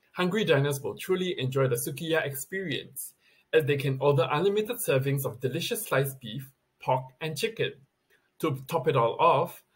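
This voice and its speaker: A man's voice: mid-range voice, slightly rough. A man reading a scripted countdown list as a video presenter, recorded on a webcam in a plain indoor room.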